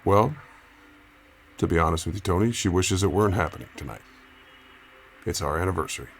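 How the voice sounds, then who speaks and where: Low, creaky-voiced placating reporter. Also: male voice, background noise. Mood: subdued. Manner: hesitant, polite, placating, measured. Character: calm, careful, folksy male radio reporter placating a captor. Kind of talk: naturalistic audio drama acting.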